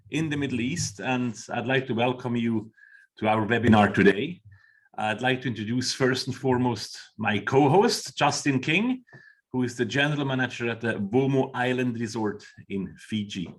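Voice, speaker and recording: A man with a Swiss accent hosts an online panel over a video call. He speaks formally and steadily, with a few hesitations. His voice sits in a mid register. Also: medium pitch. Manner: formal, measured, with fillers. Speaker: webinar host. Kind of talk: moderating a panel webinar. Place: Doha.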